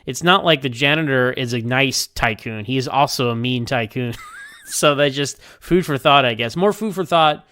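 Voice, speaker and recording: A man with a clear, resonant voice of medium pitch records informal commentary. He rambles in an unscripted way, halting and repeating words. The sound is close and dry, with no room echo. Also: pushed and bright. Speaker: podcast listener sending recorded feedback. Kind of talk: casual, unscripted episode review.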